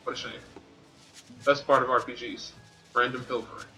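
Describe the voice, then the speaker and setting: A man streams a game with casual, playful commentary. His voice is bright and forward, at a middling pitch. Game music plays behind him as he muses to himself. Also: level tone, moderate pace, poor audio. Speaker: gaming streamer playing and commenting. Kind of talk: casual game commentary, reading on-screen text aloud.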